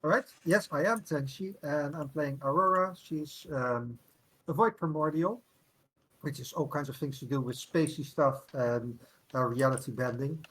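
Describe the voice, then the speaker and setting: A woman's voice: low voice, normal speed, low-quality recording. A woman player introducing her character on a voice call, hesitant with lots of fillers and a wry edge. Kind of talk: casual chat on a voice call.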